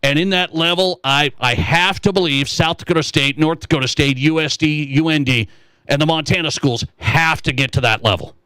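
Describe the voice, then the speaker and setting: A man's voice: fairly high pitch, normal speed, sharp tone, clear, resonant tone, no room sound. A man hosting a sports talk radio show, giving emphatic, opinionated commentary in a conversational way and repeating his points. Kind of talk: conversational sports commentary, reading listener texts.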